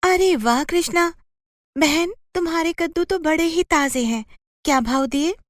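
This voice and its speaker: A woman playing a chatty, flattering, inquisitive neighbour in a children's cartoon story. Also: very high voice, a little slow, no echo, studio quality. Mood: friendly. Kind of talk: animated children's moral story dialogue.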